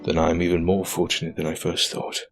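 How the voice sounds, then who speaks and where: A man's voice: sits low, completely flat delivery, fast, over music. An actor playing a proud, stubborn, weary aging king and loving father in an audio drama, speaking in formal, courtly phrasing. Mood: tender, weary.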